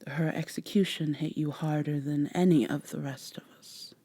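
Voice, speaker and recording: Haughty, teasing vampire woman acted by a woman, ASMR drama; lowish, close and dry, measured formal speech. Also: fairly even intonation, dark tone, breathy edge, no room sound. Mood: grave concern. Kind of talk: soft-spoken ASMR roleplay acting.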